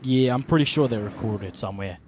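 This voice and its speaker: A man's voice: mid-range voice, fast talker, a little mellow. A young man with an Australian accent, swearing heavily and rambling through an in-character story. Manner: heavy swearing, slangy, rambling. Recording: dull lo-fi recording, faint traffic hum.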